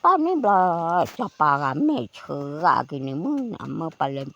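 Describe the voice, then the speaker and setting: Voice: medium pitch, huge pitch swings, moderate pace, sharp tone, dry recording, muffled recording. A person telling a folk tale aloud in a conversational way. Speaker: folk storyteller.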